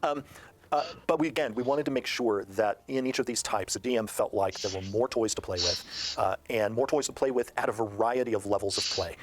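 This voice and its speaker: A man's voice: on the low side, fairly quick, background noise. A man talking as a game designer in an interview, explaining a tabletop game book in a conversational way.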